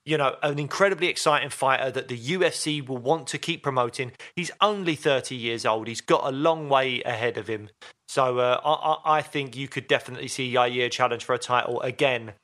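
Bright-voiced chatty man. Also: medium pitch, fairly quick. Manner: chatty, playful, jokes and laughs often. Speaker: podcast co-host. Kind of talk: casual chat about fights.

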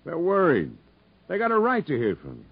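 An actor playing a stern, blunt prison camp captain in a 1950s radio drama, commanding and clipped. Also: male voice, fairly high pitch, huge pitch swings, a little fast, creaky, breathy edge, full character voice. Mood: gruff, insistent. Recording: dull 1950s radio broadcast recording.

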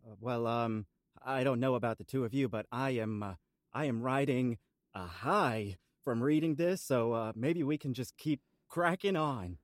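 A man co-hosts a casual podcast in a halting, hesitant manner. His pitch is average and the sound is very dry. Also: no room sound. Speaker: podcast co-host. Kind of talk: casual chat.

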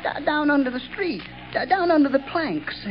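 A man's voice: very high voice, very animated, moderate pace, faint music behind. An actor playing an unhinged, murderous night watchman in an old radio drama, stammering and giggling in a nervous, childlike way. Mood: meek, nervous. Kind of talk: 1940s radio acting. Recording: muffled old-time radio recording.

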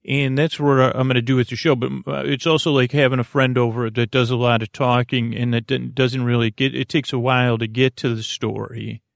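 Creaky-voiced man rambling softly to soothe. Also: medium pitch, little pitch movement, dry recording, clean studio sound. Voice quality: creaky, gravelly. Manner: meandering, halting, soothing, often breaks off mid-thought. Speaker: podcast host. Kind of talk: rambling bedtime podcast monologue.

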